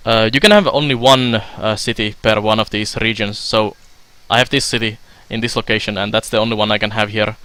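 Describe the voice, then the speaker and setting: A man's voice: on the low side, brisk, few pauses, clean tone. Finnish-accented man streaming a game. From Finland. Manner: relaxed and chatty, many fillers, laughs often. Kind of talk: let's play commentary while reading chat.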